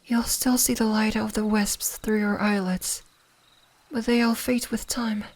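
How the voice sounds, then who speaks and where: A woman playing a gentle, curious kitsune fox spirit in an ASMR roleplay, speaking softly, soothingly and a little hesitantly. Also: mid-range voice, little pitch movement, measured pace. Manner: soft-spoken, soothing, hesitant, at times whispering.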